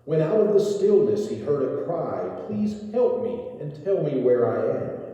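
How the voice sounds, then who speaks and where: A man reads verse and scripture in a very dark, mellow voice. His delivery is slow, solemn and measured, like a storyteller at church. Long reverb rings around every phrase. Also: fairly low pitch. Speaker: reader at a church. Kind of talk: dramatic reading of rhyming verse and scripture. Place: large reverberant indoor church space.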